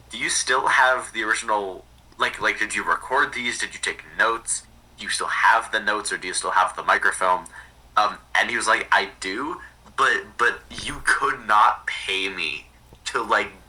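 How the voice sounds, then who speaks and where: Male podcast talker, husky but sharp, halting and conversational, recorded at a distance from the mic. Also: fairly low pitch. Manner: halting, repeats words and restarts sentences often. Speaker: podcast speaker recounting research projects. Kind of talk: casual conversational storytelling.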